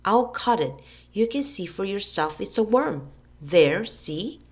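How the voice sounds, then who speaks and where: A woman's voice: medium pitch, big pitch swings, voice pushed up. A woman voicing a pushy, scheming boy who urges others impatiently. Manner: bossy, hurried urging.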